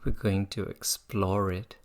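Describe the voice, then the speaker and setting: A meditation teacher gently guiding listeners through a practice in an unhurried way. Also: low voice, level tone. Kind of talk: guided meditation talk.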